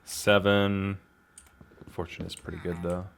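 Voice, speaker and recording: A man talks his way through a game. His delivery is casual and slangy, with self-deprecating asides and exclamations, as if thinking out loud for his viewers. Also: deep voice, level tone, unhurried, vocal fry, slight background noise. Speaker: gaming video host. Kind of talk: casual gameplay commentary, talking to himself.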